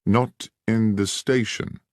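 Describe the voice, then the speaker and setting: A man reading aloud, voicing a courteous, probing gentleman detective. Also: lowish voice, fairly even intonation, takes it slowly, no echo, clean studio sound, pitched above the performer's own voice. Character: keen, incisive gentleman detective.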